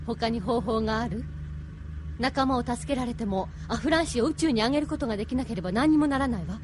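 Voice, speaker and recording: Casting: actress for daring resistance woman, firm and fast-talking, with clear vehicle noise around her. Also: fairly high pitch, close to an everyday voice. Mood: resolute, urgent. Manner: firm, persuasive. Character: bold, resolute adult female resistance member. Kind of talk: anime audio drama acting.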